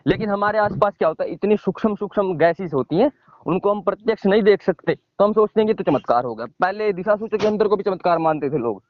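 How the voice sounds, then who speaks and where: A man's voice: high voice, expressive, fast, dry recording. A man explaining things informally on a phone call, emphatic and repetitive, often checking in with short tag questions. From village in India. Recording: dull lo-fi phone call recording.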